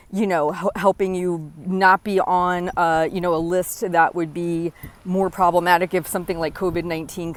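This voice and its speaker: A woman in her forties hosting a podcast, talking earnestly and encouragingly straight to the listener, often checking in with a quick "right?". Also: on the low side, no echo. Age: 40s. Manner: earnest, encouraging, direct address with frequent "right?". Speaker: podcast host. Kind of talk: motivational solo talk.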